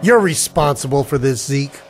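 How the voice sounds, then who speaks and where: A tense, defiant actor's take on a man in a vintage radio play speaks in a mid-range voice over music. His delivery is hard-boiled and urgent, like a noir narrator in deep trouble. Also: lively intonation, normal speed, lightly acted. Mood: defiant, threatening. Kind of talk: 1940s radio drama acting with first-person narration.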